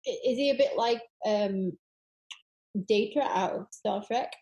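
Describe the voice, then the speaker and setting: A woman's voice: medium pitch, unhurried, a little mellow. A woman chatting casually as a guest on a podcast. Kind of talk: casual chat.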